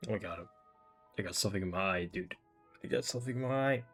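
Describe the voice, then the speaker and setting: Very low-voiced woman streamer. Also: fast, slightly rough, soft background music. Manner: informal, reactive, swearing. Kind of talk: casual game playthrough reactions.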